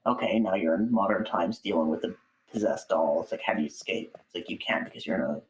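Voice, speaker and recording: A man speaking as a guest in a casual interview, in a halting way that repeats words for emphasis. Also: sits low, rough recording. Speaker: interview guest, audiobook voice actor.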